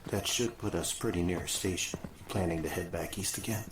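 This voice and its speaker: A man playing a steady, practical ghost scout in an Old West audio drama, calm and plainspoken, with an echoey sound. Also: low voice, fairly quick, noisy background, natural voice. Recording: echoey effect, music behind.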